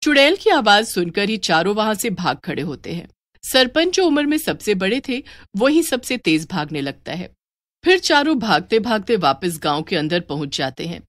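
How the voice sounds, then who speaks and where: Expressive woman storyteller with lively pitch. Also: moderate pace, no room sound, studio quality. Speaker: narrator. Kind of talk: scripted story narration.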